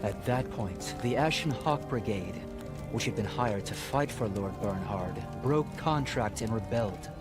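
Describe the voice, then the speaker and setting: An actor playing a solemn, measured man who recounts a war formally in a fantasy game. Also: lowish voice, expressive, over loud music. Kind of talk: dramatic storytelling in a fantasy game cutscene.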